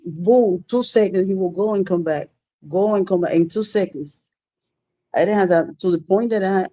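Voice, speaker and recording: Mellow Cuban-accented woman telling stories casually. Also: lowish voice, no room sound, poor audio. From Cuba. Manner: informal, peppered with swearing. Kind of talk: casual personal storytelling.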